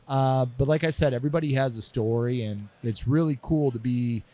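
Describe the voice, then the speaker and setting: Joking podcast host man, middle pitch. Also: fairly quick. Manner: joking, pushy, energetic banter. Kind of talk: casual podcast interview.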